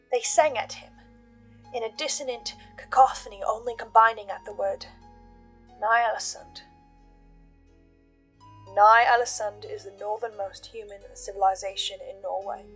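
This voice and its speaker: A woman giving intense, theatrical scripted commentary with emphatic pauses, like a host laying out a theory. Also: on the high side. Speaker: host of a reaction and theory channel. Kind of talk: dramatic scripted commentary on a fiction podcast. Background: background music.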